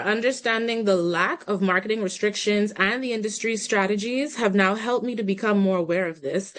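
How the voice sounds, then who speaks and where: A young woman from the Bahamas presenting slides in a webinar over a video call, politely guiding the audience through a poll. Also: mid-range voice.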